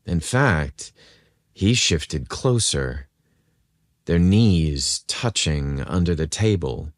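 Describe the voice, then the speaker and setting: Slow, very low-voiced male storyteller. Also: lively intonation, dry recording, studio quality. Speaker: story narrator. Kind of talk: scripted romantic story narration.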